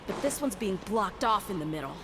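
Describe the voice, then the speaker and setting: Woman as a bold, animated game heroine. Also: mid-range voice, a little fast, over music, lightly acted. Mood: focused. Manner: bold, assured. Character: confident adult female fighter and adventurer, bold and practical. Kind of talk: anime video game voice acting.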